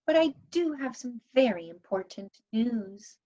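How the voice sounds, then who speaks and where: A woman voicing an excitable, curious little girl elf in a children's storybook, earnest and exclaiming. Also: fairly high pitch, measured pace, muffled recording, pitched above the performer's own voice. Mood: earnest, urgent.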